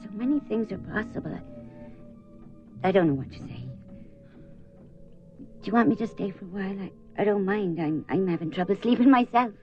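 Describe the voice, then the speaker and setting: An actress playing a devout, weary female companion who consoles others while quietly fearful, in a radio drama. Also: medium pitch, lively intonation, moderate pace, pauses often, highs cut off, soft background music, close to an everyday voice. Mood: gentle concern.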